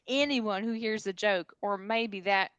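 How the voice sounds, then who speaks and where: A woman's voice: mid-range voice, measured pace, a bit bright, clean tone, lo-fi sound, natural voice. A woman playing a breezy, gossipy know-it-all informant in a comedy table read.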